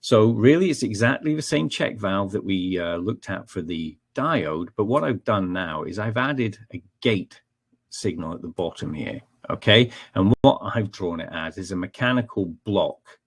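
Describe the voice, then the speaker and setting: Scottish male trainer, deep and husky, relaxed explainer with plenty of ums. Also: normal speed. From Scotland. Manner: explanatory, frequent ums and checking okay. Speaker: technical trainer. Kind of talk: technical webinar presentation.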